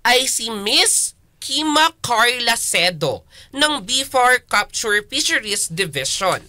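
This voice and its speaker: A woman's voice: fairly high pitch, big pitch swings, slow pace, sharp tone, no echo. A Filipino woman co-hosting a radio interview in a conversational style. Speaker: radio co-host.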